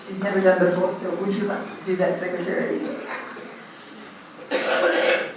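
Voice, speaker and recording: A man with an Australian accent chairing a community group's meeting, speaking loudly and casually with many ums into a handheld microphone. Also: high voice, little pitch movement, normal speed, a little hiss or hum. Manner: loud, informal, hesitant with frequent ums. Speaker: meeting chair standing in for the president. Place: large echoey hall. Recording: echoey hall, recorded from a distance.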